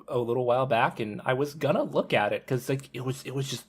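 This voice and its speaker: A man chatting casually as a panelist on a podcast, with a slightly husky voice. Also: medium pitch, fast. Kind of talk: casual discussion.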